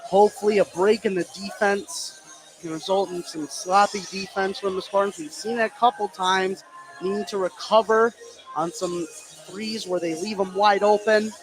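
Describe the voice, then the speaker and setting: High-pitched man analysing basketball, music behind. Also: steady tone, normal speed, rough recording. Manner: analytical, poses questions to his partner. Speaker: color commentator. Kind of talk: live sports analysis.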